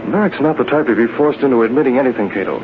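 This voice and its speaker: A man playing a calm, commanding masked vigilante in old-time radio drama style. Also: medium pitch, very fast, lightly acted. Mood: calm, assured. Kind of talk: 1930s-40s radio acting. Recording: muffled, noisy vintage radio recording.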